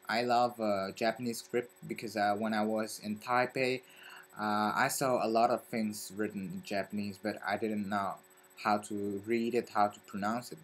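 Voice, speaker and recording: Slow, halting man with a French accent. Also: lowish voice. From Belgium. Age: young adult. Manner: halting, searching for words. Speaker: vlogger. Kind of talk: casual vlog talk to camera.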